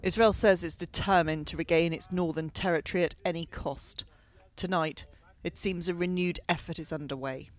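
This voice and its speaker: A woman delivering a scripted television news report as a correspondent. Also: fairly low pitch.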